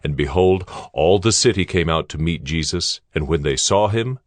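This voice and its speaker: A man narrating scripture from a script in a solemn, measured, dramatic style. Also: low voice, lively intonation, no room sound. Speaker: Bible narrator. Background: background music.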